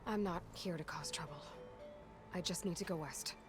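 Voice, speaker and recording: An actress playing a determined, blunt young heroine in a video game, plain-spoken and resolute in a naturalistic style. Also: female voice, on the low side, normal speed, over music, lightly acted. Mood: guarded.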